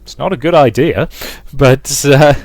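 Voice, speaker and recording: A man's voice: mid-range voice, unhurried, darkish tone, no room sound, faint music behind. A man reading a visual novel aloud as narrator and host of a let's play.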